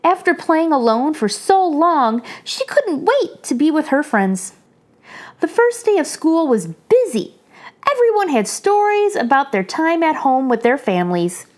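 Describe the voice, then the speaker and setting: A woman reading a children's picture book aloud in an animated storytelling manner. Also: sits high, unhurried. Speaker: children's story reader, channel host.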